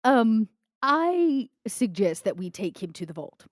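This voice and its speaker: A woman's voice: on the high side, sing-song, takes it slowly, dry recording, clean studio sound. A woman playing a tabletop roleplaying game, tentatively thinking aloud in casual improvised chat.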